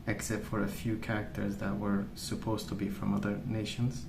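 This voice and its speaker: Male ASMR rambler, soft and meandering, with vocal fry; distant mic, echoey room, colouring sounds and noise behind. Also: lowish voice, completely flat delivery, normal speed. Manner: hesitant, meandering, thinking aloud. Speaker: ASMR video creator. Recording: roomy, distant mic, noisy background.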